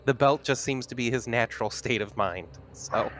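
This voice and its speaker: A man's voice: mid-range voice, normal speed, a bit bright, slight background noise. A man bantering casually as a player in a tabletop roleplaying game.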